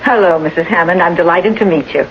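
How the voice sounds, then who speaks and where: An actress in a radio drama playing a brisk, unflappable nurse who coaxes in a polite, chatty, teasing way. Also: female voice, lowish voice, natural voice. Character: brisk, unflappable, cleverly coaxing female nurse. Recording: dull, hissy old radio broadcast.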